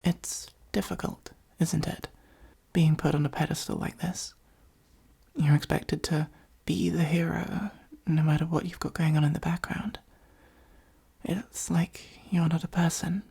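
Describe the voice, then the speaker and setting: A woman acts out a possessive supervillain with a soft spot for their nemesis in an ASMR roleplay. The voice is low and very breathy, often close to a whisper, with some gravelly creak. The delivery is intimate, hesitant and earnest, with a dry, wry edge. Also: steady tone, unhurried, exaggerated voice. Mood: tender, concerned. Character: possessive, dramatic supervillain secretly fond of their hero nemesis.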